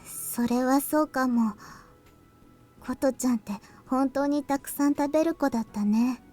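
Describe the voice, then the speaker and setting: A small, deadpan girl scientist from a Japanese visual novel, voiced by a woman. Her voice is very high and mellow. She speaks tersely and with some hesitation, in an understated way. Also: fairly quick, soft background music, close to an everyday voice. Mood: soft, reflective.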